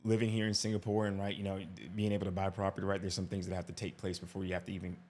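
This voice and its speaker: A man's voice: sits low, completely flat delivery, very fast, a little mellow. A man giving casual reaction commentary as an expat vlogger, talkative, often tagging "right?" and restarting his sentences.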